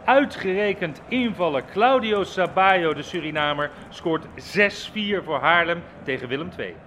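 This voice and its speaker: A man giving live, excited and dramatic football radio commentary as a match reporter. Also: high voice, unhurried. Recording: stadium ambience and noise. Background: football ground ambience.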